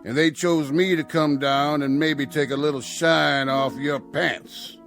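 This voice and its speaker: An actor playing a sly, menacing Old West outlaw in an audio drama, with a gravelly American Western drawl and a folksy, needling way of talking. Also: male voice, a little high, fairly even intonation, a little slow, fairly bright tone. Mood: taunting. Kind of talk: radio drama acting. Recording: music under the dialogue.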